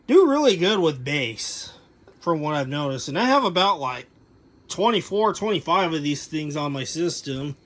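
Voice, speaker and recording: A man's voice: on the high side, a bit bright. A man giving a casual, unscripted product overview as a hobbyist, rambling informally and correcting himself as he goes. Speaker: hobbyist reviewer showing audio gear.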